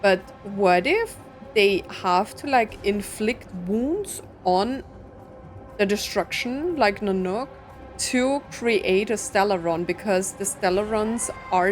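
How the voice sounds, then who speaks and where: A woman's voice: mid-range voice, takes it slowly. Chatty woman musing over a game. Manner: chatty, informal, musing aloud. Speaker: game streamer. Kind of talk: casual playthrough commentary. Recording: soft game music behind.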